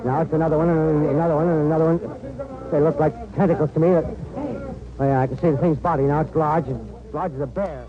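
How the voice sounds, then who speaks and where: An actor playing a horrified on-the-spot news reporter in 1930s radio drama, breathless, halting and awestruck. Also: male voice, on the high side, steady tone, lightly acted. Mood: mounting horror. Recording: tinny, noisy old radio broadcast.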